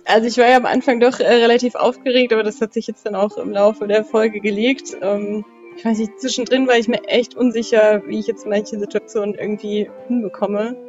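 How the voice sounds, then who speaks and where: A woman's voice: fairly high pitch, fairly even intonation, brisk, darkish tone, faint music behind. Chatty woman player, slightly dull recording. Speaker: guest player in role-playing game. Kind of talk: casual improvised role-play chat.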